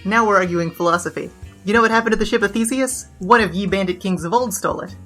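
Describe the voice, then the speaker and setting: A boastful, flamboyant bandit queen rattles along at high speed with a bright, forceful edge, as a woman acts her out. She is grandiose and quick-witted, and faint music plays under the audio drama. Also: close to an everyday voice. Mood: theatrical.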